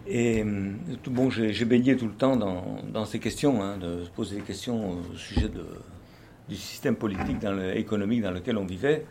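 A man's voice: on the low side, fairly quick, dark tone, slight background noise. Hesitant older man musing aloud. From France. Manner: hesitant, many fillers and restarts. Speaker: activist speaker. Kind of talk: unscripted spoken reflection. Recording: faint background ambience.

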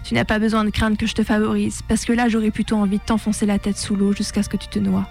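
A young woman reading a scripted personal testimony in a measured, composed, literary way. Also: medium pitch, completely flat delivery, creaky, darkish tone, soft background music. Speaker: narrator of a personal testimony on a radio show. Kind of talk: scripted first-person narration, literary testimony read aloud.

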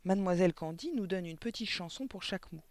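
Un homme qui lit un livre pour enfants en incarnant un petit écolier courageux, poli et empressé, à la voix aiguë. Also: male voice, lively intonation, quick pace, no echo. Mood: sing-song, proud. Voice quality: high-pitched. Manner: eager, insistent. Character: plucky little schoolboy, brave, eager, polite. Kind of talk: storybook reading.

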